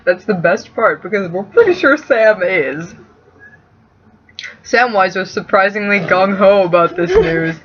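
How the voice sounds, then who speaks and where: Woman, mid-pitched, casual co-reader, chatty, slightly muffled, faint noise. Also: a little slow. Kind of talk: casual reading and banter.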